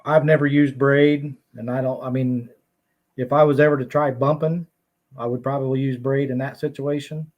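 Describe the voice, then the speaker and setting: A man's voice: medium pitch, fairly even intonation, normal speed, highs cut off. A man talking as a guest on a casual fishing podcast, easygoing, laughing now and then and restarting his sentences. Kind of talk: casual chat.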